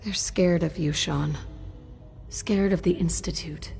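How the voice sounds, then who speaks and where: An actress playing a determined female wasteland survivor in a video game, direct and serious, with a slightly husky voice. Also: fairly low pitch, steady tone, slow, a little mellow, soft background music. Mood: wary. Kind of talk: video game dialogue acting.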